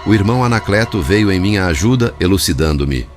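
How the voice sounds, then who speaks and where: A man's voice: sits low, expressive, a little fast, music in the background. A man reading a spiritual book as a formal, literary scripted narration. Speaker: audiobook narrator.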